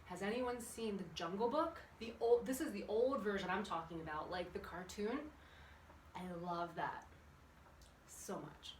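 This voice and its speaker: A woman's voice: medium pitch, unhurried, echoey room. Woman, children's fitness instructor, encouraging, question-filled delivery, distant microphone, some background noise, indoor room. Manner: chatty, encouraging, asks the audience questions. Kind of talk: instructional exercise class for kids, addressing viewers. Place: indoor room with windows open. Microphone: camera at a distance.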